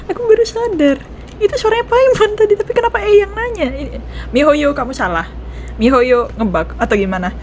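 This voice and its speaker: High-voiced, excitable Indonesian woman gamer. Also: fast talker, slight background noise. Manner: excitable, repeats phrases. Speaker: game streamer. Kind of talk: casual reaction commentary over gameplay. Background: game music.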